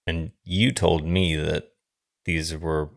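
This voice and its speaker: A man with a deep voice talks at an unhurried, slow pace. He is a podcast co-host in a casual chat about films, with dry, teasing delivery. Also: flat delivery, vocal fry. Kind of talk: casual film discussion.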